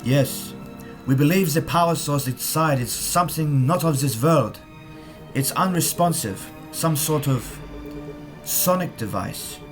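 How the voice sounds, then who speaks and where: An actor playing an earnest wartime weapons scientist in an audio drama, speaking solemnly and insistently. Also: male voice, on the high side, lively intonation, a little slow, faint music behind. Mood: grave, confiding.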